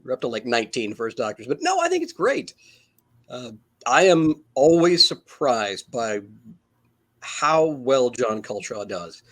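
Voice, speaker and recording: A man's voice: mid-range voice, sing-song, unhurried, a bit bright. A man on a panel chatting informally and giving his opinions as a fan. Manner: informal, conversational. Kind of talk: casual, opinionated fan chat.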